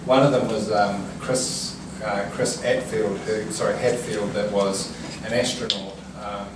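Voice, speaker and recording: A man with a loud, projecting voice giving an informal corporate welcome talk. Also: lowish voice, steady tone, measured pace. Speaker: company general manager welcoming guests. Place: indoor event venue in an office building. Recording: echoey room, distant mic, noisy.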